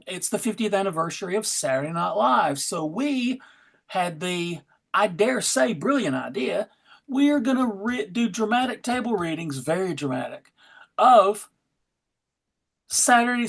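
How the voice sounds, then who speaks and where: A man's voice: sits high, expressive, measured pace, creaky, fairly bright tone. Jokey American man hosting. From Alabama, United States. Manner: jokey, playfully exaggerated. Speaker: host. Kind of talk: casual livestream chat. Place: video call.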